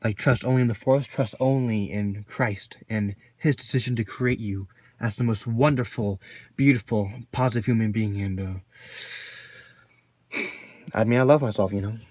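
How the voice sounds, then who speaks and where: A deep-voiced man talking casually to the camera in a personal vlog, rambling and filling his talk with constant "you know"s and repeated phrases. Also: unhurried, a little mellow, dry recording. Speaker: vlogger.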